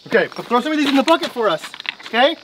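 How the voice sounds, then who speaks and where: A woman narrating a family vlog from behind the camera on a farm, excitedly cheering her kids on as they play. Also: high-pitched, racing, heavy background noise. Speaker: mother and family vlogger. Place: outdoors on a farm, barn and lakeside.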